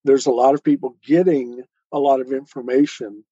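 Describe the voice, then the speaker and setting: Mellow-voiced man explaining, slightly dull sound. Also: mid-range voice, steady tone, normal speed, slightly rough, no room sound. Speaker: guest author, storyteller and podcaster. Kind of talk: conversational interview answers.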